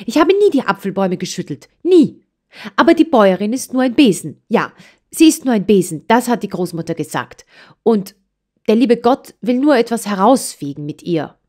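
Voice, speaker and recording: A woman's voice: sits high, sing-song, normal speed, few pauses, a little mellow, pitched above the performer's own voice. A woman playing a breathless, indignant young girl. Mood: upset. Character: spirited young mountain girl, proud and stubborn.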